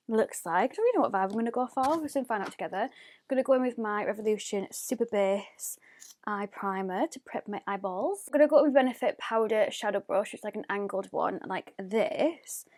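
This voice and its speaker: A woman, chatting through a makeup tutorial at home, often dropping to a hushed near-whisper. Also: mid-range voice, moderate pace. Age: 20s. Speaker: beauty YouTuber. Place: home, indoors. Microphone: close mic.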